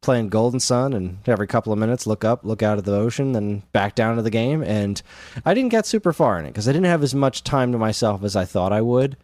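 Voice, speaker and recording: A man's voice: on the low side, animated, fast talker, a little mellow, no room sound, studio quality. A man co-hosting a podcast, chatting casually and telling stories in a conversational way. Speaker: podcast co-host. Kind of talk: casual chat about video games.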